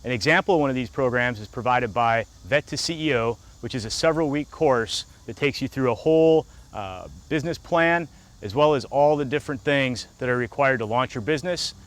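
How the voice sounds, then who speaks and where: A man's voice: mid-range voice, brisk. A man giving business advice in an informational, scripted narration style.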